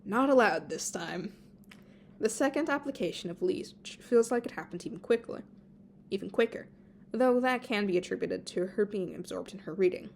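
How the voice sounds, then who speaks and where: An amateur woman narrator reads fan fiction aloud at a middling pitch. She stumbles on words and restarts them, and faint noise sits behind her in a homemade recording. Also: lively intonation, normal speed, creaky. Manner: stumbles over words and corrects herself. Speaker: amateur podfic reader.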